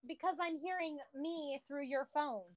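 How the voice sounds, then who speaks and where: A woman's voice: high-pitched, moderate pace, dry recording, faint music behind. A woman chatting casually and making joking remarks.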